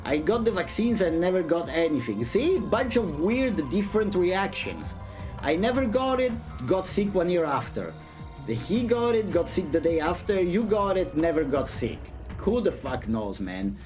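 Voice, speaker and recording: Non-native English streamer, casual, sweary, slangy, very lively intonation, light music behind. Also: mid-range voice, a little slow. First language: not English. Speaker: streamer reading a visual novel aloud and chatting. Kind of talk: casual live-stream chat mixed with dramatic read-aloud.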